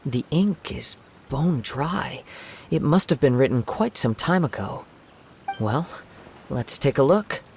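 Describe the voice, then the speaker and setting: A man voicing a calm, thoughtful young wandering samurai in a video game, speaking in measured, formal phrases. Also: medium pitch, vocal fry, slight background noise. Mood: calm, pensive.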